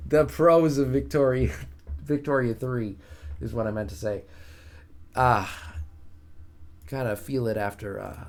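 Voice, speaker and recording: A man's voice: medium pitch, a little mellow. A man with a soothing voice streaming a strategy game, casually chatting with his viewers and joking at his own expense. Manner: chatty, self-mocking, reads and answers chat.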